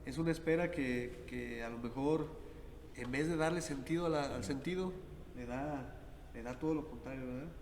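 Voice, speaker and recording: Priest with a reverberant sound. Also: male voice, mid-range voice, normal speed, darkish tone. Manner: thoughtful, many tag questions. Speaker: priest and podcast host. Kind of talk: reflective conversational podcast. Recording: echoey hall with background noise.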